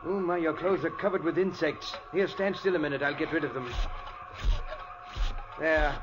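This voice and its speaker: High-pitched, fervent radio-play professor played by a man. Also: normal speed, natural voice. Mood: brisk. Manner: fervent, theatrical. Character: obsessive, excitable professor inventor fighting the insect world. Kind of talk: old-time radio mystery acting. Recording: muffled, noisy vintage radio recording.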